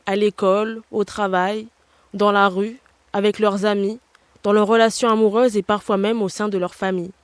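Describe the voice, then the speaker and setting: A woman in her twenties narrates a personal, scripted podcast essay. Her delivery is measured and reflective, unfolding in short phrases at a medium pitch. The sound is very dry, with no room around the voice. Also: little pitch movement, clear, resonant tone, no room sound. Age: 20s.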